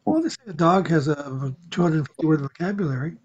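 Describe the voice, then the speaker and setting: Male conversational partner, soft-edged husky tone, explanatory and unhurried phrasing, muffled lo-fi audio. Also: fairly high pitch. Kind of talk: casual chat. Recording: dull, lo-fi sound.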